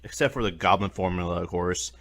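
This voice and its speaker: A man's voice: low-pitched, fast talker, fairly bright tone, slight background noise. A man hosting a scripted video review, talking in a colloquial, mildly profane way. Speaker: reviewer, host.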